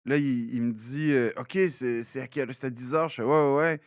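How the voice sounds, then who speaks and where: A man with a Québécois French accent, telling a story in a casual podcast chat, animated and full of restarts. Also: medium pitch, brisk, no echo, studio quality. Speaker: podcast co-host. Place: recording studio.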